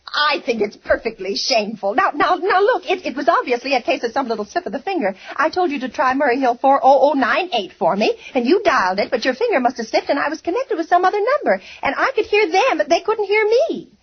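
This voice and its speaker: A frantic, imperious society woman who keeps nagging the telephone staff. Her voice sits very high and swoops wildly as she stammers and demands. It comes through a dull, muffled 1940s radio broadcast. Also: big pitch swings, brisk, few pauses. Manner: shrill, impatient, stammering. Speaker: radio actress.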